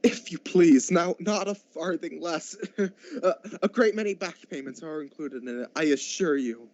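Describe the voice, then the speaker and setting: A man playing a giddy, generous reformed old miser in a radio play, stammering a little in excitement. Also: fairly high pitch, normal speed, no echo, close to an everyday voice. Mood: giddy, eager. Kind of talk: school radio drama acting. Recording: dull lo-fi home recording.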